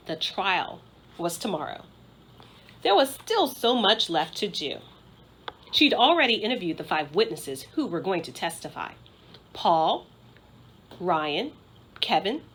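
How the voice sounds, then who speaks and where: A woman narrating a children's storybook aloud in an expressive style, like a school principal reading to pupils. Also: fairly low pitch, breathy edge.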